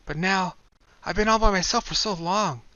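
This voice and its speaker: A frightened, exhausted young woman voiced by a male narrator in a raised voice pleads in a weary way. Her tone is bright and her pitch rises and falls. The audiobook recording sounds dull and lo-fi. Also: expressive, moderate pace, no echo. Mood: pleading.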